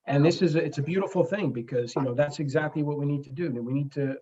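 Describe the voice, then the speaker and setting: A man in his forties from the Bronx, a native Spanish speaker, speaking earnestly and haltingly as a guest in a conversational interview. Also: a little high, mellow, dull audio. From the Bronx, New York, United States. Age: 40s. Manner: earnest, halting, frequent pauses mid-phrase. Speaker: guest, comic book creator.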